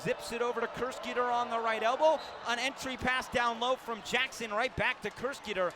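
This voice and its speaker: A man doing live basketball play-by-play for radio, with vivid, punchy calls that lift on big plays. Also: high voice. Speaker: play-by-play sports announcer. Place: indoor basketball arena during a game. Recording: loud arena noise, bouncing balls. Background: loud game ambience, ball bounces.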